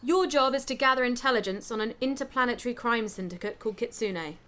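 A woman's voice: a little high, fast, fairly bright tone, natural voice. A woman playing a stern, blunt space-police commander in an audio drama, curt and authoritative. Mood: businesslike.

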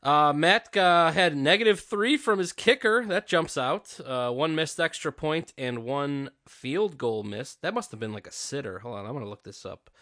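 A man hosting a casual fantasy football podcast, talking in a relaxed, rambling way and often trailing off. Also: fairly high pitch, normal speed, hardly any pauses, no room sound, clean studio sound. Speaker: podcast host. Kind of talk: casual chat.

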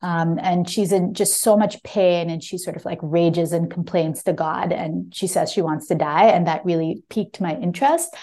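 A woman's voice: on the low side, steady tone, normal speed, few pauses, dark tone. A woman talking as a guest author about a memoir, reflective and conversational, with frequent small hedges. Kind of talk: casual book talk and reading from own memoir.

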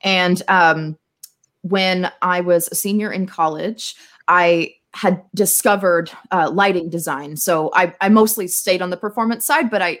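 A young adult woman, a podcast guest, chatting casually and telling stories in an animated, theatrical, self-mocking way. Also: on the low side. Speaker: podcast guest, tech marketer. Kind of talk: casual conversational storytelling.

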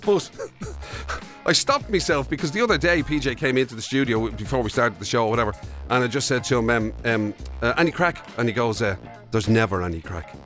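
A man's voice: mid-range voice, lively intonation, fairly quick, fairly bright tone, faint music behind. An Irish man co-hosting a radio show in casual comic chat, rambling and asking bemused questions. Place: radio studio.

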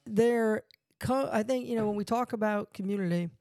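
A man's voice: high voice, normal speed, darkish tone, no echo, clean studio sound. A man co-hosting a casual podcast, playful and riffing with jokes between points.

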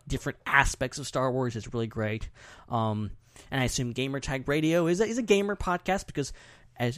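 A man's voice: medium pitch, no echo, clean studio sound. A man chatting casually and animatedly as a podcast host.

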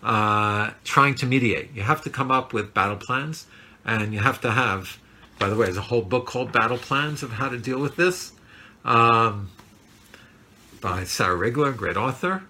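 A man's voice: mid-range voice, normal speed, pushed and bright. A man giving an earnest, reflective Torah class, with many fillers and self-corrections.

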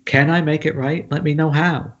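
A man's voice: a little high, steady tone, normal speed, lightly acted. A man playing a stubborn yet sheepish film director in an amateur table read over an internet call. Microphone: internet video call. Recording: dull, noisy internet call, clicks.

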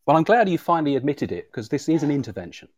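A British man talks informally in an interview setting, recorded dry with little room sound. His speech is halting, and he often doubles back on words as he builds a sentence. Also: on the high side, sing-song, no room sound. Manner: halting, repeats words as he goes. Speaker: audio drama creator, interviewer. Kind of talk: informal live conversation interview.

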